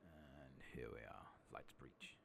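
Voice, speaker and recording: Deep gravelly guy murmuring soft asmr game commentary, rambly, noisy background with clicks. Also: slow. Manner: rambling, thinking aloud, casual swearing. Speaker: gaming streamer.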